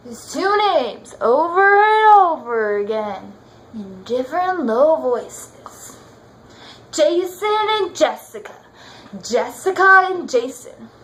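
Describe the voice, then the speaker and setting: A young woman performing a wistful, bitter jilted fiancée in an amateur stage monologue, with halting dramatic pauses. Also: sits high, big pitch swings, fairly bright tone, full character voice. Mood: hurt. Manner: halting, dramatic pauses, repeating words for weight.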